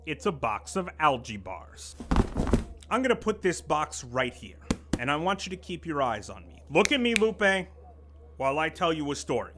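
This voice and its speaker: A man's voice: a little high, big pitch swings, moderate pace, heavy background noise. An actor playing a pompous, condescending office vice president who lectures his staff. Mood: lecturing. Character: pompous middle-aged male corporate boss, menacing and sly. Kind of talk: audio drama acting.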